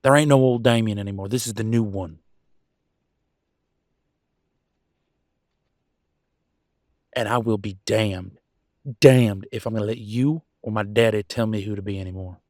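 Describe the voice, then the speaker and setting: A man's voice: fairly low pitch, brisk, a little mellow, dry recording, clean studio sound, close to an everyday voice. A man playing a proud, wounded werewolf husband and country vet in a roleplay audio drama, with a drawl and a folksy, bitter, raw way of talking. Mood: defiant, angry. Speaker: voice actor. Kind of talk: dramatic roleplay audio, one side of a couple's argument.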